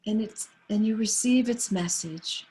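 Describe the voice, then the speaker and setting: A woman leading a guided meditation on a video call, speaking softly and soothingly. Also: medium pitch, husky. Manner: soft, unhurried, soothing.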